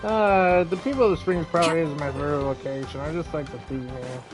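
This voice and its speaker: A woman's voice: low voice, fairly even intonation, fairly quick. A young woman commenting casually over a game, chatty and reading along with the dialogue. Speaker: streamer. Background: game music.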